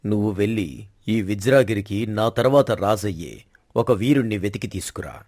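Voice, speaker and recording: A man's voice: on the low side, moderate pace, a little mellow, no echo, lightly acted. A man acting a proud, commanding middle-aged king in a story drama, speaking in a formal, stately way. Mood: commanding, decisive. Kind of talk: scripted Telugu moral-story dramatization.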